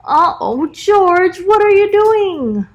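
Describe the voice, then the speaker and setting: A woman voicing a kindly, patient man who looks after a pet monkey, in a gentle, encouraging storybook manner. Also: very high-pitched, sing-song, slow, voice pushed up, exaggerated voice. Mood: mild surprise. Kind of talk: children's storybook character voice.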